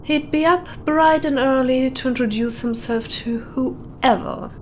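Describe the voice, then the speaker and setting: A woman narrating a short story in a deliberate way with dramatic pauses. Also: high-pitched. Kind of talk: scripted narration, atmospheric storytelling. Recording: windy howling ambience behind voice. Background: added wind or howling ambience.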